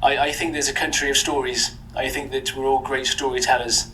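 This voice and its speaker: A man from Britain answering an interview question thoughtfully, measured and full of ums. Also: mid-range voice, little pitch movement, sharp tone, slight background noise, rough recording. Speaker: street interviewee.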